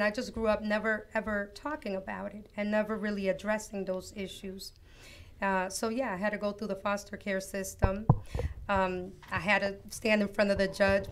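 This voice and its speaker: A woman's voice: medium pitch, very noisy. Earnest, reflective woman from Costa Rica. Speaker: guest author. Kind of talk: personal testimony in an interview.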